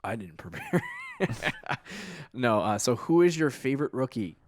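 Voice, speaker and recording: A man's voice: mid-range voice, big pitch swings, a little slow, darkish tone, a little hiss or hum. A man from New England co-hosting a casual fantasy football podcast, loose and laughing, often trailing off and restarting. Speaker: podcast co-host. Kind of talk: casual sports chat.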